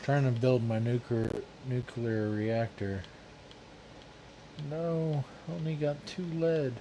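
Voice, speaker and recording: A man chats casually while he plays a game, answering in short, teasing bits. His voice sits in a middle register. Clear background noise hangs under the recording. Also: medium pitch, slow, dark tone, low-quality recording. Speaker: streamer. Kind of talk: casual chat while gaming.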